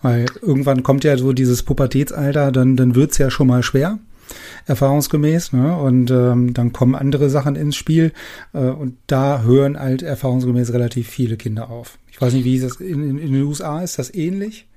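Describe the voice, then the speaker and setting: Mellow man interviewing casually. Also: mid-range voice, normal speed.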